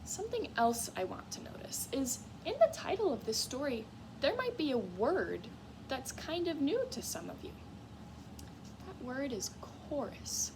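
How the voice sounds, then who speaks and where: A woman teacher giving a read-aloud lesson to young children in an expressive, encouraging way, often putting questions to her listeners. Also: high voice, a little slow, noisy background. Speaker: music teacher. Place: school classroom, in a reading corner.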